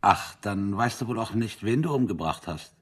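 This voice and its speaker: Deep husky bullying interrogator captain. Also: male voice, lively intonation, moderate pace. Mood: accusing, taunting. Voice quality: low, husky. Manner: commanding, sardonic, bullying. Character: harsh, impatient military captain interrogating a prisoner. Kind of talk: radio drama acting.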